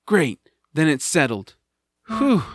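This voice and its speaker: A man's voice: a little high, sing-song, takes it slowly. A man acting an earnest, kind-hearted young adventurer who also narrates in an audio drama. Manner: earnest, eager.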